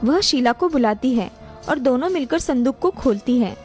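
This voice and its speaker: A woman's voice: high-pitched, lively intonation, normal speed, a little mellow, soft background music. A woman narrator reading a scripted cartoon family story.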